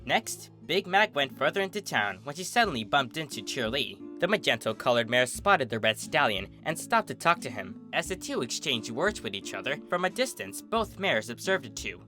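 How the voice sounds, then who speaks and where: A man's voice: medium pitch, sing-song, normal speed, hardly any pauses, sharp tone, faint music behind. A man reading a scripted story aloud as the narrator of fan fiction.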